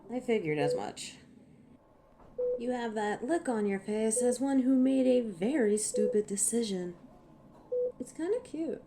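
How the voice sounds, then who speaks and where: A woman's voice: fairly high pitch, background noise. A woman voicing a cocky, ancient werewolf in an audio roleplay, teasing and menacing with a sardonic, impatient manner. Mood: teasing, probing. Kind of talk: scripted audio roleplay monologue to a listener.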